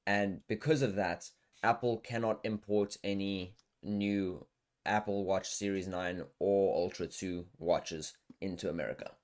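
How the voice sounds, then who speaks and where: A man's voice: deep voice, fairly even intonation, measured pace. A man hosting a podcast in a casual, conversational style. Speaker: podcast host. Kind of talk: casual chat.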